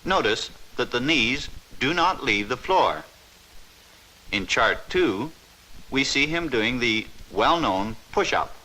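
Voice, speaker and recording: A man giving a formal, measured, scripted narration in the style of a 1950s instructional film. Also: medium pitch, lively intonation, bright, forceful tone, rough recording.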